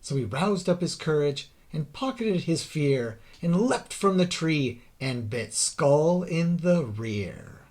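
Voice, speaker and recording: A man reads a rhyming children's tale as a storybook narrator. His delivery is theatrical and deliberate, with a slow pace and drawn-out phrase endings. The pitch swings widely as he goes. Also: very animated, darkish tone. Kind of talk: dramatic scripted children's story narration in rhyme.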